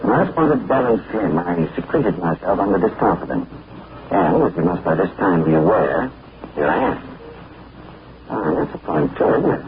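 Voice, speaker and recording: An actor playing a cool, witty adventurer captain who talks in a breezy, unflappable way with dry wit. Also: male voice, low-pitched, big pitch swings, moderate pace. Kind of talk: 1940s radio acting. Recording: tinny, hissy 1940s radio broadcast.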